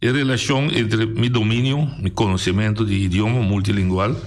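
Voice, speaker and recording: A man's voice: lowish voice, fairly even intonation, fairly quick. A man hosting a radio discussion who talks in a conversational way, often agrees and restarts his phrases. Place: radio studio.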